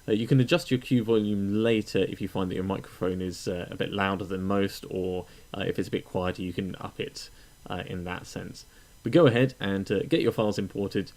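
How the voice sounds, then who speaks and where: Low-voiced man giving a tutorial. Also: steady tone, brisk, darkish tone. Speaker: tutorial narrator. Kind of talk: casual step-by-step software tutorial.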